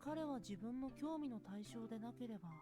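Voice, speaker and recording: A cynical, detached young man from a visual novel. He is curt and dismissive, talking quickly under prominent game music. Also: very high voice, animated. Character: aloof, cynical young man in a fairy-tale romance. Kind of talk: Japanese visual novel voice acting. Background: loud game music.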